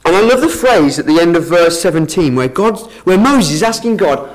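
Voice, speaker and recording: A man's voice: high-pitched, lively intonation, moderate pace, poor audio. A man giving a Bible talk to a congregation, earnest and explanatory, often restarting his sentences. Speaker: lay preacher. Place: meeting room with an audience.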